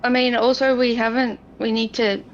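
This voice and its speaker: A woman chats informally at a gaming session. Her voice is fairly high with plenty of vocal fry, captured in dull sound. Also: flat delivery, normal speed, soft background music. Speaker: tabletop game player. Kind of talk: casual chat. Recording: dull, slightly noisy recording.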